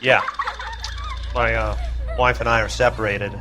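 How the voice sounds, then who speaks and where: A man's voice: on the low side, level tone, a little slow, lightly acted. A man playing a hesitant, apologetic father. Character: harried separated father, neighbor.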